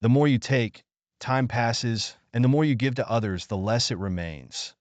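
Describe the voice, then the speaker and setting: A man's voice: mid-range voice, normal speed, no room sound, muffled recording, close to an everyday voice. A man voicing an eager, clever young girl in a children's storybook. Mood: quick, confident.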